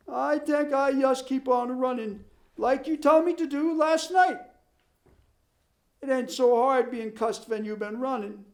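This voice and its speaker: A simple-hearted young runner, voiced by a man, gloomy and plainly spoken in slow, broken English. The voice sits noticeably higher than the narration. A storybook reading. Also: a little mellow.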